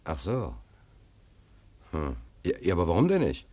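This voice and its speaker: A low-voiced, stammering, stubborn seaman. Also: huge pitch swings, normal speed, mellow, dry recording, exaggerated voice. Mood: bewildered, pleading. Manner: rambling, stammering, repeats words. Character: naive, stubborn stranded seaman without papers, protesting plaintively. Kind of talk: German radio play acting.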